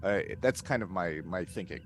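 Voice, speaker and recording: A man chatting casually on a panel video call, explaining things in a halting, discursive way. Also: deep voice. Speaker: cast member and game master. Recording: noisy video call audio.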